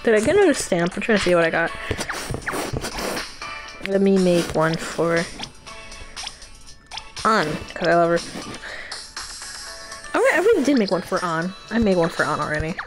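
A young woman gives casual playthrough commentary in a lively, swooping voice. She is slangy and offhand, and game music plays clearly behind her. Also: lowish voice, moderate pace, long pauses. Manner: slangy, swearing, offhand reactions. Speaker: game streamer.

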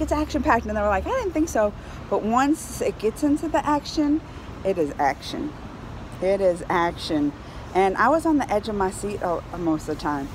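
A middle-aged woman giving a casual, excited review to camera in an animated, colloquial way. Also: medium pitch, low-quality recording. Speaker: vlogger reviewing a film. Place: outdoors on a high-rise terrace in a city. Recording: clear outdoor ambient city noise.

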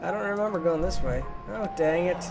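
A man's voice: sits high, brisk, gravelly. A young man giving casual let's play commentary, chatty and goofy with playful exclamations. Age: young adult. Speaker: gaming YouTuber. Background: game music.

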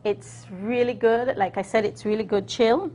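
A middle-aged woman casually demonstrating a recipe, explaining it in a hesitant, halting way. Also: a little high, fairly even intonation, mellow, slight background noise. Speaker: guest cook demonstrating a recipe. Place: TV kitchen studio set.

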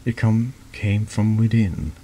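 A deep-voiced man reading horror game dialogue aloud in an ASMR-like style, halting and stumbling over words now and then. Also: fairly even intonation, warm tone, slight background noise. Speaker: streamer reading a text adventure game aloud. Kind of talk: slow narrated read-aloud of horror story dialogue, ASMR-like.

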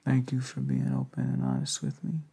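A young man speaks softly and hesitantly in an intimate, breathy ASMR boyfriend roleplay, tender and low-key. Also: sing-song, brisk. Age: young adult. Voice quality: soft, breathy, gravelly. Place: indoors, lying on a bed in a bedroom.

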